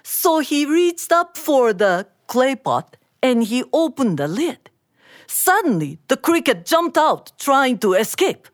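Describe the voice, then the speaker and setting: A woman telling a folktale live, in an expressive, deliberate and dramatic manner. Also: sits high, no echo, clean studio sound. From Japan. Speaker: storyteller. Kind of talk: live oral storytelling of a folktale.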